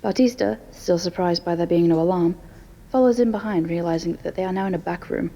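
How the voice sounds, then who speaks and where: Gravelly, mellow woman narrator. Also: fairly low pitch, a little fast, rough recording. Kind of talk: scripted story narration in present tense.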